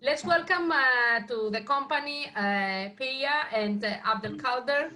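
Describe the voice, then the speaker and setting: Female group host, high-pitched and bright, casual discussion on a video call. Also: measured pace, low-quality recording. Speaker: host of an online poetry reading group. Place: online video meeting.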